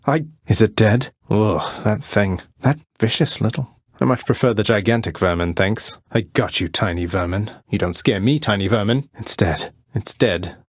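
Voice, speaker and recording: Young game hero, underworld prince, low pitch, wry, cocky, courteous, understated, very dry studio sound. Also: male voice, normal speed, few pauses, no room sound. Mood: startled, wary. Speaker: voice actor playing a video game hero. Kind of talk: video game voice lines, short combat barks and dialogue.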